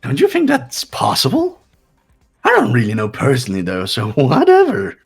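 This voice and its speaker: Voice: medium pitch, huge pitch swings, normal speed, creaky, husky, soft background music, exaggerated voice. A performer voicing a gleeful, sadistic mascot bear in a fan-voiced video game, taunting and theatrical.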